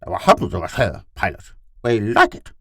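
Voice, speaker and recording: A man's voice: fairly low pitch, huge pitch swings, a little fast, vocal fry, bright, forceful tone, dry recording, studio quality, pitched above the performer's own voice, full character voice. A man voicing a complacent, carefree alien shapeshifter in dog form for an audiobook story. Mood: eager, persuasive. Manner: carefree, stubborn, earnest. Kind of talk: audiobook character voice.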